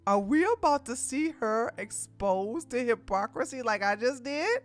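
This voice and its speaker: A woman streamer reacting to a video in casual, blunt commentary, speaking with emphatic force and sometimes breaking into loud, indignant outbursts. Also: fairly high pitch, animated, measured pace, faint music behind.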